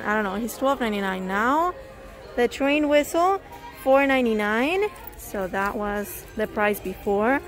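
Chatty woman reading out store prices. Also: on the high side. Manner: reads out prices, exclaims in surprise. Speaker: shopping vlogger. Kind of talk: casual shopping walkthrough. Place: indoor discount store. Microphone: handheld phone camera. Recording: store music playing behind. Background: store music.

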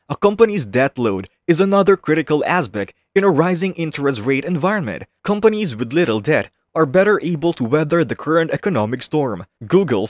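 A man's voice: mid-range voice, no room sound. Dry close-miked male voice-over narrator.

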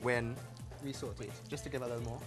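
A man's voice: medium pitch, fairly even intonation, fast, music in the background. A Trinidadian man in his thirties, a TV chef on a studio kitchen set, eagerly explaining the dish and teasing his guest. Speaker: TV chef and host. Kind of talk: cooking show chat and explanation.

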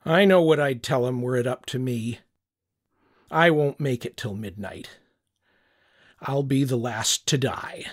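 A man's voice: mid-range voice, unhurried, long pauses. An older man narrating a short story in the first person, reflective and wistful. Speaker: audiobook narrator.

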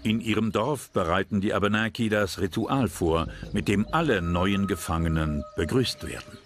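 Low-voiced male documentary narrator. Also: moderate pace, noisy background. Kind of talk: scripted narration.

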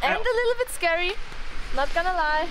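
A woman's voice: very high-pitched, expressive, a little fast. A young woman chatting casually on a vlog in brief, exclamatory remarks. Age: young adult. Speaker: vlog companion. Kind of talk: casual chat. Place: castle ruins in winter. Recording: noisy camera audio on location.

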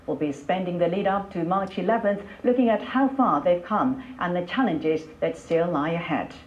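A woman's voice: lowish voice, darkish tone. A woman presenting scripted television news as an anchor, heard played back over speakers in a room. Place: broadcast replayed over speakers in a lecture room. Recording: dull, echoey playback in a hall.

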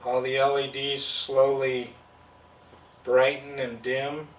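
A man's voice: medium pitch, little pitch movement, slow, recorded from a distance. A man giving a plain, step-by-step product demonstration at a table indoors. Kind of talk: hands-on product demo. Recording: roomy sound from off-mic.

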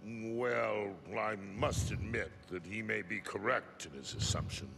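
An actor playing a huge, grave monstrous chairman in a video game, solemn and deliberate. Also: on the low side, creaky, background noise. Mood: pensive. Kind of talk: dramatic video game voice acting. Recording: loud music over the voice.

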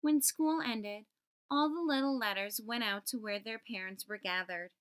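A woman hosting storytime, reading a children's picture book aloud and enunciating deliberately for young listeners. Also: a little high, smooth. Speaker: storytime host.

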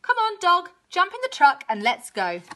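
Storybook farmer, male, read by a woman: practical, patient, cautioning, with bright, animated pitch. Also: moderate pace, a little hiss or hum, full character voice. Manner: reasoning, cautioning. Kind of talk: children's storybook character voice.

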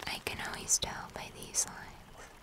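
A young woman playing a gentle, melancholic moth-human creature of the woods, speaking in a slow, tender, breathy whisper for fantasy ASMR. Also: natural voice. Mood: tender, calm. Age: young adult. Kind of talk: cinematic fantasy ASMR roleplay.

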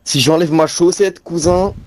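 A man's voice: on the high side, fairly even intonation, moderate pace, darkish tone, dry recording. A man joking around casually in online game voice chat, with cheeky, slangy talk.

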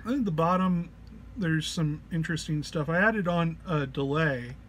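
A man's voice: fairly high pitch, normal speed, a bit bright. A man giving an informal gear walk-through as a demonstrator, hesitant and searching for words, sounding under the weather. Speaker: musician demonstrating an effects pedal patch. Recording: clear background noise.

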